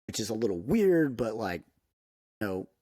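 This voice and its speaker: A man co-hosting a casual music podcast, telling stories emphatically with halting restarts, on a close microphone. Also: on the low side, sing-song, dark tone, no room sound. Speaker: podcast co-host.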